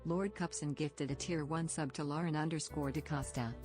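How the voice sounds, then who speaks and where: A woman's voice: low-pitched, steady tone, normal speed, darkish tone, faint music behind. A synthetic woman's voice reading out scripted stream notifications in an impersonal, formulaic way. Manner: impersonal, formulaic text-to-speech delivery. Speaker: automated stream alert reader.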